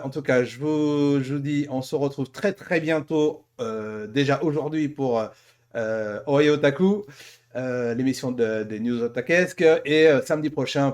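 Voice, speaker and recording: A man's voice: medium pitch, darkish tone. A man hosting a casual online interview show, speaking in a hesitant, rambling way and repeating his phrases. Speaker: host.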